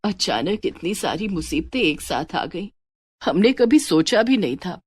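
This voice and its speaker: A middle-aged mother, acted by a woman storyteller, anxious and weary as she frets over her household. The voice is lowered and plain, with a dry storybook sound. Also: big pitch swings, a little slow, slightly rough, no echo, full character voice. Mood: worried.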